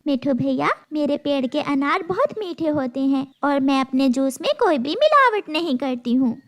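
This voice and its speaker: Woman as a high-voiced little cartoon bird. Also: expressive, moderate pace. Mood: proud. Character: honest, firm little female bird juice seller.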